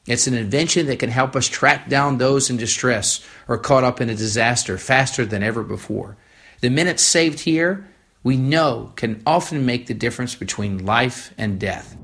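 A man's voice: medium pitch, moderate pace, fairly bright tone. An American man delivering a scripted first-person radio essay. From United States. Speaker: humanitarian organisation co-founder.

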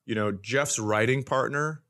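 A man's voice: medium pitch, a little slow, fairly bright tone. A man hosting a comedy podcast, telling stories casually in a wry, self-deprecating, conversational way.

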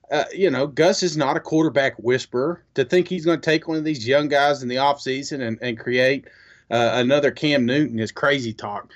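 A man's voice: a little high, fairly bright tone. A man co-hosting a sports podcast in casual chat, recorded with a slightly dull sound. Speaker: podcast co-host.

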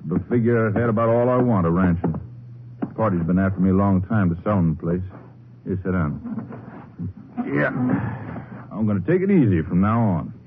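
An actor playing a gruff, grumbling small-time rancher with a folksy drawl in a 1950s radio western. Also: male voice, low voice, animated, normal speed, pauses often. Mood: grumbling, easygoing. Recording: tinny, noisy 1950s radio recording.

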